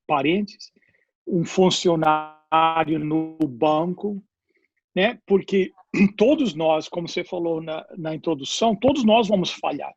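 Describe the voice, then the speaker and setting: A man with an English accent, a pastor whose first language is English, talks in a panel discussion, hunting for words and dropping in English fillers. His voice sits a little high and comes out husky. The audio is dull and lo-fi, with a dry, close sound. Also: dry recording.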